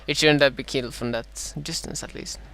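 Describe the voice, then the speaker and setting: A man from Sweden with a Swedish accent and a dry throat, casting his own strategy game match in a halting way, full of "uh"s and self-corrections. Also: mid-range voice, steady tone, bright, forceful tone. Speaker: gameplay commentator.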